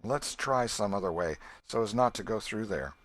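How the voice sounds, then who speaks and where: A man's voice: fairly low pitch, lively intonation, normal speed. A man voicing an adventurous small boy in a storybook, folksy and reassuring but unsure. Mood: uncertain. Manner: folksy, colloquial.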